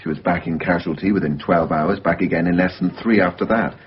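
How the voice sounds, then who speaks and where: Man playing a low-voiced, understated hospital worker. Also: brisk, a little hiss or hum, lightly acted. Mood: grave, matter-of-fact. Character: measured male hospital mental-health worker briefing a colleague. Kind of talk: naturalistic radio drama acting. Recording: dull lo-fi radio recording.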